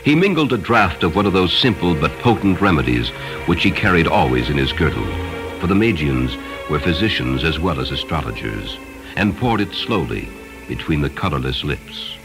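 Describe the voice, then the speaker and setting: Very deep-voiced man narrating a story. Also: normal speed, over music, rough recording. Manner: solemn, expressive storytelling. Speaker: audiobook narrator. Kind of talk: dramatic scripted story narration.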